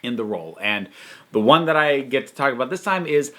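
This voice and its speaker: A man's voice: medium pitch, animated, fairly quick, fairly bright tone. A man hosting a casual review monologue, talking in an enthusiastic, emphatic, conversational way. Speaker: host and reviewer.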